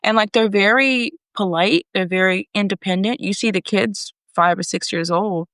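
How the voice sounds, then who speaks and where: A woman's voice: mid-range voice, moderate pace, fairly bright tone, dry recording. Chatty woman hosting a podcast. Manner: chatty, loose, with fillers and restarts. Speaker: podcast host. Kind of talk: casual interview. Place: home abroad, on a remote call.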